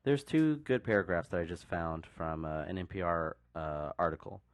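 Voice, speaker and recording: Podcast co-host, male, low-pitched, close and dry sound, casual rambling explainer. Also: moderate pace, no echo. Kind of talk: casual discussion.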